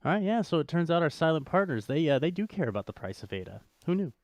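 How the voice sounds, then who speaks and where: A man's voice: fairly high pitch, big pitch swings, fast, a little mellow, dry recording. A man co-hosting a casual unscripted talk show, rambling, repeating phrases for emphasis and slipping in dry jokes. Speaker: co-host of a crypto show. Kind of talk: casual unscripted chat about cryptocurrency.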